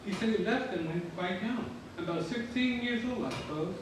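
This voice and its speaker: A man's voice: sits high, brisk, natural voice. A man giving a dramatic reading as an earnest son seeking his late father's lost family. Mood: earnest, solemn. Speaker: stage reader. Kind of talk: dramatic reading of historical letters. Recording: very echoey hall, distant, clear background noise.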